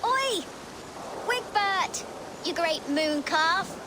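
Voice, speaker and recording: A woman's voice: very high-pitched, animated, drawn-out words, exaggerated voice. An actress playing a cheeky, defiant young street urchin girl in a video game, with a brash, taunting, childlike voice. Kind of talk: video game cutscene acting. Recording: noisy game ambience.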